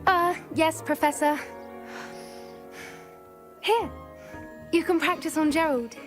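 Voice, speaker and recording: A woman's voice: sits high, slow. A woman voicing a friendly, giggly young student in a game cutscene. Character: cheerful young girl student. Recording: game cutscene with music behind.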